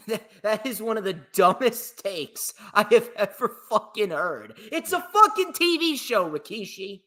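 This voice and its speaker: Bright, lively young man who swears. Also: sits high, a little slow. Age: young adult. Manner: swears freely, argues and laughs. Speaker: podcast co-host and DJ. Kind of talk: casual wrestling podcast banter.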